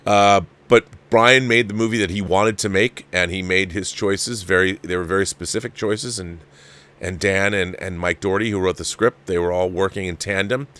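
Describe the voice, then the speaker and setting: Male livestream film commentator, lowish voice, casual, rambling and emphatic, circles back on his phrases. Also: steady tone, normal speed, bright, forceful tone. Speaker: host of a live movie review show.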